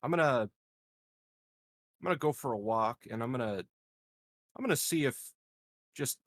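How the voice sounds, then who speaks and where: Casual man with a medium-pitched voice. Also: lively intonation, normal speed. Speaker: player in a tabletop roleplaying game. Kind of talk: casual in-game chat.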